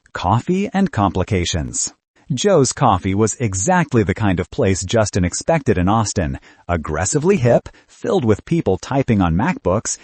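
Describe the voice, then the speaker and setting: A man reads a novel as an audiobook narrator. His lowish voice is husky and very expressive in pitch, recorded close and dry. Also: no room sound. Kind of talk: scripted fiction narration.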